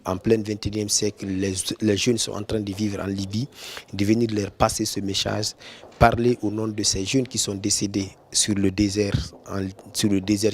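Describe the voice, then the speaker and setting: Slow, solemn low-voiced man. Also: slight background noise. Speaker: migrant giving testimony. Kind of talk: earnest interview statement.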